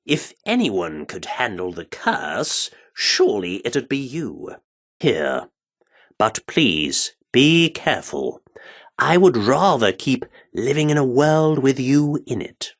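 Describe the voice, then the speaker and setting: An actor playing a grandiloquent, self-important male theatre director in a video game, speaking in archaic flourishes with dramatic pauses. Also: medium pitch, very animated, exaggerated voice. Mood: earnest, courteous.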